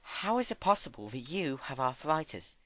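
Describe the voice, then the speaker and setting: A man voicing a gentle, anxious female pony who is caring and insistent, in an earnest dramatic story reading. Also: mid-range voice, very animated, normal speed, dry recording, pitched above the performer's own voice. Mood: worried insistence. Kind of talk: dramatic fan-fiction reading.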